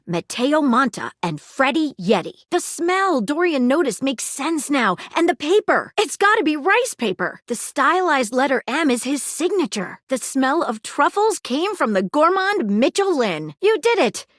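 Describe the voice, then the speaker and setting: A woman's voice: sits high, sing-song, unhurried, hardly any pauses, no echo, clean studio sound. A woman playing a curious, precise female detective in a video game, articulate and inquisitive. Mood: excited, triumphant. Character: living magnifying glass detective woman, keen, curious, precise, flirtable. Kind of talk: video game character acting.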